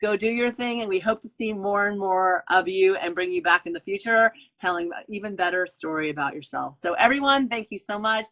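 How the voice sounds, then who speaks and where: A woman's voice: medium pitch, brisk, few pauses, a bit bright. A woman hosting an author interview, gushing and effusive, often repeating her phrases. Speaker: podcast host. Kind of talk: enthusiastic interview wrap-up.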